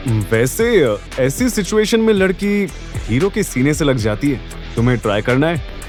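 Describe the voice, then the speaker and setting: A man voicing a cocky, protective young hero with calm swagger in an audio drama. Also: fairly high pitch, unhurried, faint music behind. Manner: self-assured, reassuring, playful swagger. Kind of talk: Hindi audio drama acting.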